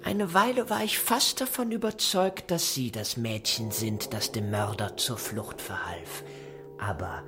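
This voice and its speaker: An actor playing a smooth, menacing Nazi interrogator in a German radio drama, mock-polite and condescending, with deliberate pauses and drops into whispering. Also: male voice, lowish voice, expressive, music in the background. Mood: quiet menace.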